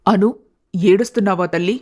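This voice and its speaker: A woman voicing a protective, disapproving middle-aged aunt in an animated family story, blunt and motherly. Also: mid-range voice, normal speed, lightly acted. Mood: concerned, probing.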